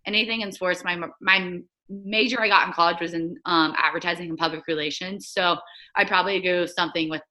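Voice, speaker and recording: A woman's voice: on the low side, steady tone, fairly quick, sharp tone, low-quality recording. A young woman in her twenties, a former soccer player, chatting casually as a guest over a video call, with lots of "like" fillers. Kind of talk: casual remote interview.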